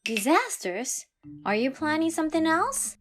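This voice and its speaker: Manga-dub heroine, played by a woman: wary young woman, high register, deliberate pace, earnest and accusing. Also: very animated, a bit bright, soft background music, heavily acted. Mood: alarmed. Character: earnest, wary young bride-to-be standing up to harassment. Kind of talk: dramatized manga story dub.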